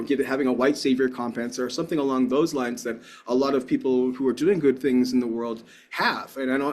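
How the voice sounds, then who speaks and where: A man's voice: medium pitch, darkish tone. A man giving a keynote talk and answering audience questions in an earnest, rambling way with frequent restarts. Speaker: keynote speaker.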